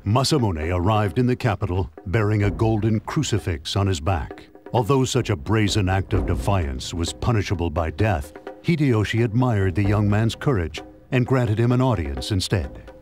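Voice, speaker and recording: A man's voice: on the low side, animated, normal speed, lightly acted. A man playing a solemn chronicler, giving scripted narration for a samurai game. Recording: music behind narration.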